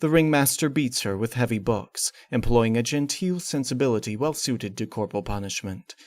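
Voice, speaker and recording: A man's voice: mid-range voice, animated, normal speed, no room sound, clean studio sound. A man reading a scripted gothic horror story in the first person, with formal, theatrical and precise phrasing. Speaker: narrator reading fan fiction.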